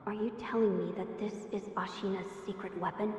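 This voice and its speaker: A woman's voice: mid-range voice, fairly even intonation, fairly quick, darkish tone, lightly acted. A woman voicing an earnest, dignified young noble boy in a video game, formal and polite. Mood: troubled.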